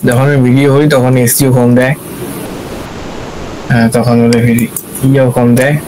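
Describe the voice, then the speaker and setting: Man, tutorial instructor, flat intonation, informal think-aloud delivery, noisy recording with steady hiss. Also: mid-range voice, moderate pace. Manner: thinks aloud with frequent check-in questions. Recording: clear steady background hiss.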